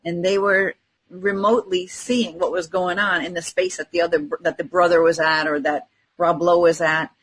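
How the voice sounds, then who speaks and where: A woman's voice: fairly low pitch, flat delivery, normal speed, breathy edge, poor audio. A woman in casual podcast conversation, telling personal anecdotes and acting out bits of dialogue.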